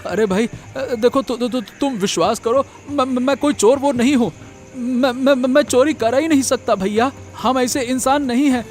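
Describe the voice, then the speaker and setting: A man's voice: very high-pitched, normal speed, faint music behind. A man voicing a poor, honest village labourer and devoted father in a cartoon, earnest and repetitive in his speech. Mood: frightened pleading. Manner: stammering, pleading, repeats words. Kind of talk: Hindi moral-story cartoon voice acting.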